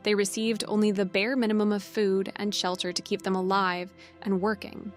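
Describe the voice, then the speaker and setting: Female reader, medium pitch, scripted factual article delivery, faint background music. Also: little pitch movement, moderate pace. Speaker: reader of quoted journalism.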